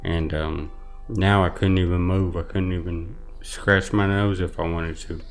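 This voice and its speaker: A man giving earnest personal testimony as an interview guest, speaking haltingly with some repeated words. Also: deep voice, steady tone, faint music behind. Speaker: interview guest, brain injury survivor.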